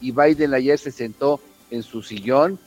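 A man giving informal, opinionated news commentary on a morning radio show, in colloquial words with a halting delivery. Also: mid-range voice, darkish tone, muffled recording, faint music behind.

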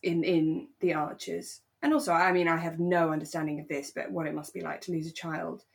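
A woman with a voice a little on the low side. She talks casually and reflectively, like a podcast co-host in an easy discussion with a friend. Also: steady tone, a little fast, creaky. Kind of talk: relaxed casual discussion of a radio drama.

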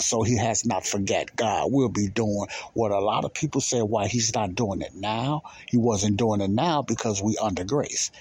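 A man's voice: fairly low pitch, slightly rough, dry recording. A man giving informal Bible teaching, emphatic and repetitive, often posing questions and answering them himself.

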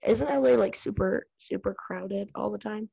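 A woman's voice: mid-range voice, normal speed, gravelly, mellow, husky, lo-fi sound. An American woman interviewing a friend in casual chat, talkative and full of fillers, laughing often.

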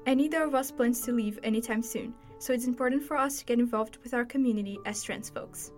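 A host from Brazil leading a casual interview chat, soft-spoken and gentle with her questions, laughing often. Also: fairly high pitch, fast, soft background music. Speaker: podcast host.

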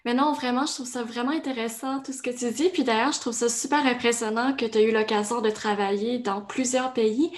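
A woman's voice: on the high side, steady tone, fairly quick, hardly any pauses. A woman hosting a podcast interview, asking encouraging questions. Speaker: podcast host.

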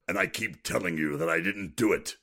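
A man playing a gruff, blunt retired thief in an audio drama. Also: low voice, a little fast, fairly bright tone. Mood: defensive.

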